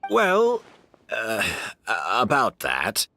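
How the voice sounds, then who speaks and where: An actor playing a kindly, hesitant, considerate host in a video game, quick to apologise. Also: male voice, fairly low pitch, wildly swooping pitch, gravelly, sharp tone, faint music behind, heavily acted. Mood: apologetic, flustered. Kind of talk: English game voice acting.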